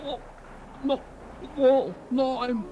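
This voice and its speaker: A man's voice: very high voice, takes it slowly, dark tone, lo-fi sound, faint music behind. An actor playing a mild, befuddled husband in his thirties in a radio drama, hesitant and vague. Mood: bemused. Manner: hesitant, vague, faintly amused.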